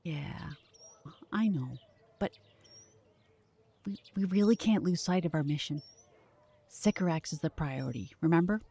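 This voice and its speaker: An actress playing a focused, supportive young woman, steady and earnest, in an audio drama. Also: low-pitched, a little slow, pauses often, slight background noise. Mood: soothing.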